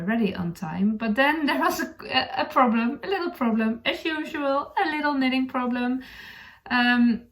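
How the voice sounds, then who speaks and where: Creaky-voiced woman with a Dutch accent. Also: on the high side. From Netherlands. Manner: hesitant, searching for words, chuckling now and then. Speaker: knitting podcast host. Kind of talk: casual chat to camera.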